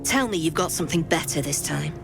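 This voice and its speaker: A woman's voice: low-pitched, animated, fairly quick, exaggerated voice. A woman playing a hard-bitten smuggler boss in a fantasy video game, curt, businesslike and commanding. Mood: impressed, brisk. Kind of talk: video game character dialogue. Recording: game dialogue over clear background music.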